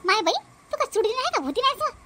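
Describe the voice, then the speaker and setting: A woman's voice: extremely high pitch, sing-song, fast, pushed and bright, heavily acted. A woman voicing a quarrelsome, suspicious older mother-in-law in a comic cartoon, scolding and repeating complaints in a Vidarbha Marathi accent. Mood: indignant, alarmed. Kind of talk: exaggerated comic cartoon dialogue.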